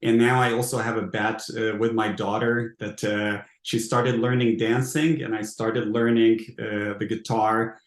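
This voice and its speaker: Echoey Hungarian-accented man presenting haltingly. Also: on the low side, little pitch movement. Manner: halting, hesitant phrasing with repeated words. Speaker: founder giving a presentation. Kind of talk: informal conference presentation. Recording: roomy, echoing sound.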